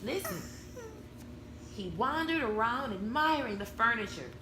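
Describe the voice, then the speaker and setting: A woman reads a storybook to small children in an expressive, explanatory way. Her voice is fairly high, with wide, lively swings in pitch. It is recorded in an echoey room. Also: measured pace. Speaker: mother reading to her young children. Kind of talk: storybook read-aloud with simple explanations and questions. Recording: echoey room with background noise.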